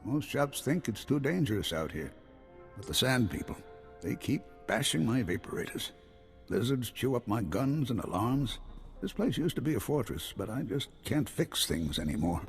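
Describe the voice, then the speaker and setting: An actor playing an anxious, proud old hermit farmer and former guard in a video game, hesitant and halting. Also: male voice, mid-range voice, big pitch swings. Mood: wary, worried. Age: older. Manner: hesitant, halting, repeating himself. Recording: long hall reverb, music behind.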